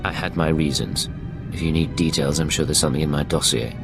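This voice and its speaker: An actor playing a guarded, composed imperial agent in a video game, formal and clipped. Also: male voice, rumbling low, brisk, vocal fry, over music. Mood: cool, defiant. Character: cool, guarded male imperial secret agent. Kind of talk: cinematic video game acting.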